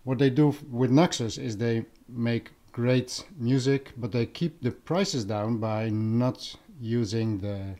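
A man's voice: mid-range voice, slow pace, few pauses, warm tone. A man from the Netherlands with a Dutch accent, chatting casually and unscripted for a vlog, searching for words as he goes. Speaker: vlogger, audio enthusiast. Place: living room at home.

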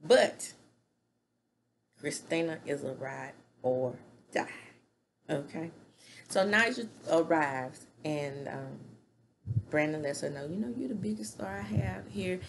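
Middle-aged woman, opinionated casual recap, lowish voice, webcam at home, faint room hum. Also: gravelly. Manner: chatty and opinionated, hand gestures. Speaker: reality TV recap host. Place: small room at home, indoors. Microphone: webcam at desk distance.

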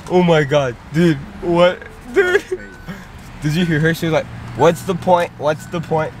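A young American man vlogging pranks in casual, slangy, excitable chat with his friends. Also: a little high, a little slow. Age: young adult. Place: inside a car and outdoors by a mall. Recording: noisy car and street ambience.

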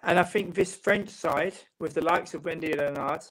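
A middle-aged man from England, a football writer and guest, talking on a video call in a measured, reflective way from a home room. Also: a little high, a bit bright. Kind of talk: casual panel chat. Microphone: video call webcam.

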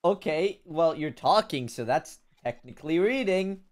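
A young man live-streaming a video game on a headset mic, swearing often and reacting loudly. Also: animated, unhurried. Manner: swears a lot, loud and jumpy pitch. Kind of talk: casual gaming chat.

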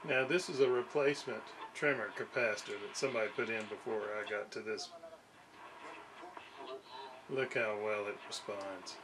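A man giving a casual hands-on demonstration, haltingly thinking aloud as he works. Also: on the low side, steady tone, noisy background. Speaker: radio restoration hobbyist presenter. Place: small indoor home workshop.

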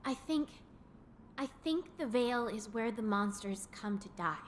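A woman's voice: a little high, lively intonation, unhurried, in a large hall, a little hiss or hum. An actress playing an earnest, caring young woman adventurer in a video game, speaking with open emotion. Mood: thoughtful. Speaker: game voice actor. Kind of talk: dramatic video game cutscene acting.

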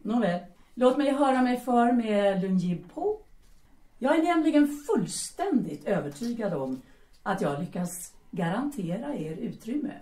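A woman's voice: fairly high pitch, sing-song, dark tone. An actress in an audio drama playing a bold, seductive Parisian bohemian woman who is teasing, commanding and formal in address. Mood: self-assured. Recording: roomy, echoing sound.

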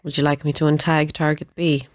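A woman acts out a sadistic Irish female assassin in an audio drama. Her low, dry-recorded voice is droll and playful, flirting and taunting while she relishes violence. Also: level tone, a little fast, dry recording, natural voice. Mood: gleeful menace. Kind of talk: audio roleplay acting.

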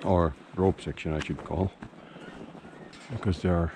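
Man, hiker vlog, slow halting speech, lowish voice, outdoor noise. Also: steady tone, warm tone. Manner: slow, halting, many fillers. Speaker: hiker filming his trip. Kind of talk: casual vlog narration. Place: outdoors on a snowy mountain trail.

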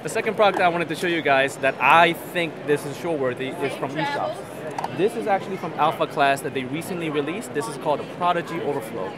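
A man's voice: a little high, animated, fast. A man in his thirties hosting an aquarium channel, walking through a trade show and showing products with eager, self-deprecating chatter. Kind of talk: trade show walkthrough and interviews. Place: busy indoor expo hall. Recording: crowd chatter from a busy hall.

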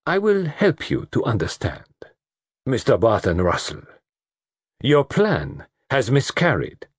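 A man voicing a commanding European detective with a French accent, speaking in a deliberate, theatrical, precise way in an audiobook. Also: mid-range voice, huge pitch swings, husky, no room sound, studio quality, pitched above the performer's own voice, heavily acted. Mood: triumphant, precise.

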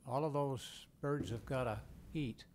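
A board member, a man with a mid-pitched voice, speaks in a plain, direct way. A faint room hum sits behind him. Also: a little slow, darkish tone. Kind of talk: asking questions at a public meeting.

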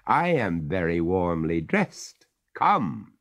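A stern master of the house answers with measured, icy courtesy, as a man reading the story plays him. He speaks slowly and with a lively rise and fall, firm and ironic. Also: mid-range voice, pitched above the performer's own voice.

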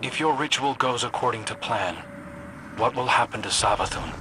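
A man's voice: medium pitch, moderate pace, heavy background noise, lightly acted. A man playing an earnest, wry young rogue who questions things, in informal, conversational game dialogue. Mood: questioning. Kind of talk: video game character dialogue. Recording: music bed behind the dialogue.

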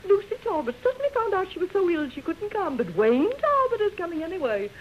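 A highly strung, imperious society hostess in a vintage radio play. Her high voice swings widely and theatrically, on a muffled recording. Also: very animated, fast talker, a little hiss or hum. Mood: exasperated, frantic. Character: fussy, self-absorbed society hostess and mother, highly strung. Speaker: actor in a radio drama. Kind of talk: 1930s radio acting.

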